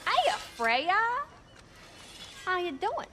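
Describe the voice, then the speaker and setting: A high, lively dwarf smith. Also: female voice, very slow, over music, full character voice. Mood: flirty. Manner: playful, sassy, colloquial. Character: cheeky, flirty, folksy female dwarf smith. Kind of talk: animated video game acting.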